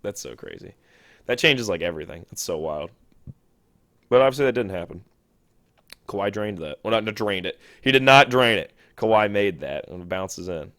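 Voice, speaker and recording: Young male podcast host, lowish voice, quick chatty delivery with self-corrections, dry close mic. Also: animated, pushed and bright, no room sound. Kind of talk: solo sports podcast talk.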